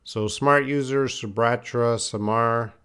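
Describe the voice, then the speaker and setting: A man's voice: medium pitch, steady tone, slow pace, a bit bright, clean tone. A man teaching English in a live online lesson, patiently reading out viewers' answers and correcting them with repeated example phrases.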